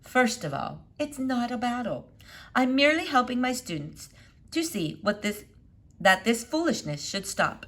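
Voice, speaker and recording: A woman's voice: fairly high pitch, big pitch swings, measured pace, fairly bright tone, pitched above the performer's own voice, heavily acted. A woman voicing a stern, dismissive female schoolteacher in a children's storybook read-aloud, firm and precise.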